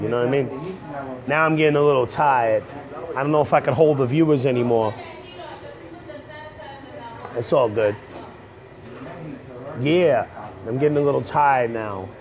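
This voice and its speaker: A young man, hoarse from a cold, rambling casually to viewers on a livestream from a coffee shop. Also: medium pitch, a little mellow. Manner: rambling, slangy, talks straight to viewers. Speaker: comedian livestreaming. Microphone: earbud headset mic on a phone. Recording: dull lo-fi phone stream.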